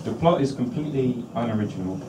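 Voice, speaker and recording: A man's voice: medium pitch, expressive, fast talker. A man brought up from the audience at a comedy show, speaking in a casual, reluctant and hesitant way. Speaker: audience member brought on stage. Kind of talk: casual, unrehearsed banter and halting reading. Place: indoor live comedy venue. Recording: very noisy, echoey, distant live recording.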